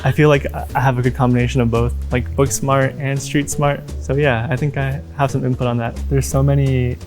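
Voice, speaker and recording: A man's voice: medium pitch, flat delivery, brisk. An earnest young man in his twenties explains his view. Faint music runs underneath. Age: 20s. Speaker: interview participant.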